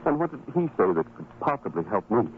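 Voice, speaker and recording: A man's voice: mid-range voice, big pitch swings, fast talker, close to an everyday voice. An actor playing a troubled, stubborn fisherman father in a religious radio drama, with an emotional, emphatic, theatrical delivery. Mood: defensive. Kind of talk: old-time religious radio drama acting. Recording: tinny, band-limited old radio recording, noisy.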